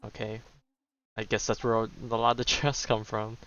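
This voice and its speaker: A man's voice: fairly low pitch, completely flat delivery, normal speed, muffled recording, a crowd in the background. A man giving casual commentary while playing through a video game, talking off the cuff. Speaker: gaming video host. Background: game music.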